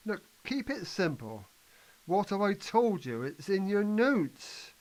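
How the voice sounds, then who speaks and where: Male amateur actor as assured reform advocate, high voice, lecturing a doubter impatiently, stage-play delivery. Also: big pitch swings, slow pace, exaggerated voice, poor audio. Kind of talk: amateur scripted play reading.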